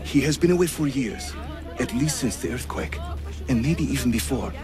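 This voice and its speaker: A man's voice: mid-range voice, lively intonation, moderate pace, over music, crowd noise, close to an everyday voice. An actor playing a hearty, teasing Ottoman assassin leader in a video game, with a theatrical delivery. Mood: grave, indignant. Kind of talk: video game dramatic acting.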